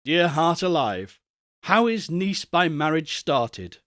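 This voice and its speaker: A man's voice: fairly high pitch, very animated, slow pace, a bit bright, no echo, studio quality, heavily acted. A man voicing a reformed old miser in a storybook reading, joyful and kindly, speaking with effusive, earnest courtesy. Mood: warm, hopeful.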